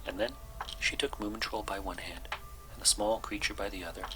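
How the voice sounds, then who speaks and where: A man reading a children's story aloud as soft-spoken bedtime narration, with music behind him. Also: fairly low pitch, steady tone, brisk, background noise. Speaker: storybook reader.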